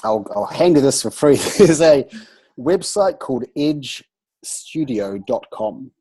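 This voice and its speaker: Brief: nasal man, voice coach, husky and dark, animated video-call chat. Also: mid-range voice, measured pace. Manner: animated, playful, trails off into restarts. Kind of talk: casual group video chat.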